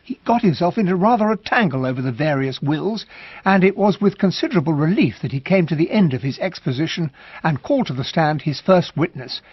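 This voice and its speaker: An actor playing a gentlemanly narrator in a radio drama, telling of a trial in a wry, confiding way. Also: male voice, a little high, animated, a little fast, husky, no echo. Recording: dull, dry radio studio recording.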